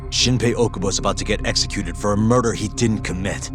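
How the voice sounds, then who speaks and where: An actor playing a hard, determined investigator in a video game, blunt and menacing. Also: male voice, fairly low pitch, steady tone, fast, music in the background, natural voice. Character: hard, determined male investigator threatening an interrogation. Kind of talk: dramatic video game acting.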